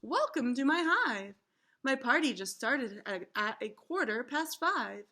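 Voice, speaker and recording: A woman's voice: on the high side, very animated, a little slow, sharp tone, exaggerated voice. A woman voicing a gracious storybook queen bee who welcomes and proclaims in a stately manner.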